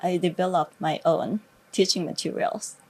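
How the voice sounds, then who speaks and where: Middle aged thai lady w lao as first language, low voice, chatting in an interview, thai accent. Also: steady tone, normal speed, a little mellow. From northeast Thailand. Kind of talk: conversational interview answers.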